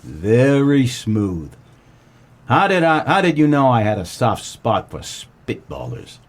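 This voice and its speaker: A man playing a wisecracking, deadpan 1940s private detective in a comedic noir radio play, with terse, slangy tough-guy delivery and a husky voice. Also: medium pitch, slow. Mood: smug, accusing. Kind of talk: comedic noir radio play, first-person narration and dialogue.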